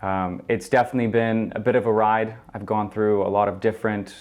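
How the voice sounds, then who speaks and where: A man in his twenties from Ukraine, answering interview questions earnestly and thoughtfully, with frequent pauses and ums. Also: fairly low pitch, little pitch movement, darkish tone. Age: 20s. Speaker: guest. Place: indoor studio.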